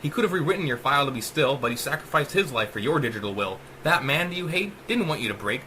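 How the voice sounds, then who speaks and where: A man's voice: mid-range voice, lively intonation, quick pace, sharp tone, a little hiss or hum. A man voicing a defiant, irreverent male rat hero in a dramatic sci-fi horror audiobook. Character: defiant, wisecracking male rat hero, battered but stubborn.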